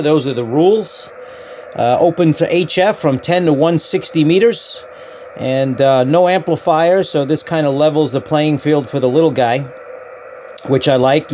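A man's voice: fairly high pitch, a little mellow. A man who operates amateur radio, speaking casually and calling out call signs. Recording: clear background hiss and radio noise. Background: ham radio static and chatter.

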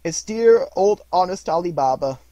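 A man's voice: high voice, expressive, normal speed, dark tone, dry recording, pitched above the performer's own voice. A man voicing an old miser who exclaims between laughing and crying, in a dry recording. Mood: ecstatic.

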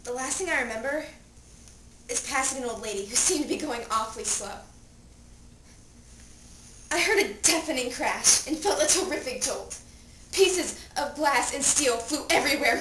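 A young woman performing a dramatic monologue as an anguished, pleading seventeen-year-old girl who has died, with theatrical delivery. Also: high-pitched. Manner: theatrical, swinging from hushed telling to desperate cries. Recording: echoey room, distant mic, clear noise.

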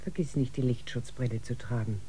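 Low, mellow ship's doctor. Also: female voice, brisk, a little hiss or hum, low-quality recording. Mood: guarded. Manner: calm, matter-of-fact. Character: calm, humane female ship's doctor. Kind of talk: sci-fi radio drama acting.

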